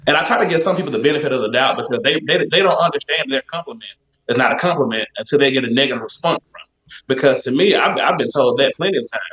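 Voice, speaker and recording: Fast-talking man, animated storyteller. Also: medium pitch. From west side of Birmingham, Alabama. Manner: animated storyteller, acts out quoted voices. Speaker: podcast host. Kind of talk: casual chat. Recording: dull lo-fi sound.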